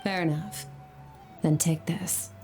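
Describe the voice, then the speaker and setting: A woman's voice: low voice, fairly even intonation, takes it slowly. A woman playing a stoic, proud warrior in a video game, speaking in a terse, cool, matter-of-fact way. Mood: curt. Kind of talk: video game character acting. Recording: game audio with music.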